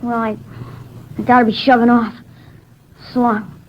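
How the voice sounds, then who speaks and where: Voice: medium pitch, level tone, measured pace, close to an everyday voice. An actor voicing a small, guarded runaway boy who acts tough in a 1950s radio drama, speaking in terse, defiant slang. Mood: guarded, forlorn. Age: child figure, about nine. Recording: muffled vintage 1950s radio recording.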